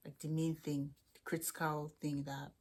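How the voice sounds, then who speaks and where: A woman's voice: low voice, little pitch movement, moderate pace, darkish tone, a little hiss or hum. A young woman with a Nigerian accent chatting candidly as she answers viewers' questions about student life and faith. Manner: chatty, candid, with frequent restarts. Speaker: international student vlogger. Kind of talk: casual chat answering questions to camera.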